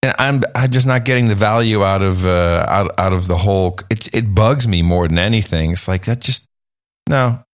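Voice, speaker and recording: A man hosting a podcast in casual chat, rambling and swinging his pitch up and down as he talks. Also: normal speed, no room sound, clean studio sound. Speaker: podcast host.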